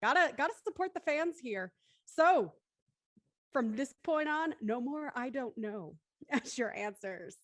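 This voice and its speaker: A woman's voice: high-pitched, animated, unhurried, no room sound, studio quality. A woman hosting a live stream and introducing guests in a chatty presenting style. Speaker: host.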